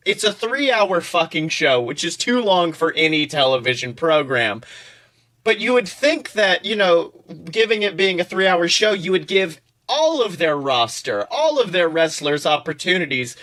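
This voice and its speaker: A young man with a bright, forceful voice that sits a little high. He chats casually and comedically as a podcast co-host, animated and slangy, swearing freely and delivering silly points with mock seriousness. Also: moderate pace. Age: young adult.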